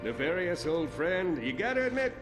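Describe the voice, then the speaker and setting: Over clear background music, a blustering cartoon space hero. He speechifies grandly and dimly, with broad swings in pitch and a cheerful, pompous air. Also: big pitch swings, normal speed. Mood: grandly sincere. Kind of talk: comic animated game acting. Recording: music behind the dialogue.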